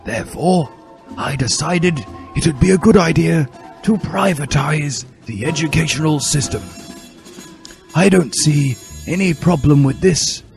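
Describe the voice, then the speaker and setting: A man voicing an elderly wizard headmaster who announces things grandly like a corporate pitchman, in a comic dramatic reading. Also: measured pace, vocal fry, a little mellow. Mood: matter-of-fact. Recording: music behind the voice.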